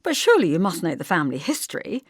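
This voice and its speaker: A woman's voice: on the low side, wildly swooping pitch, very fast, husky, no echo, clean studio sound, full character voice. A woman voicing a snobbish, probing middle-aged mother of the groom in an audiobook, with genteel disapproval. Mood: eagerly prying.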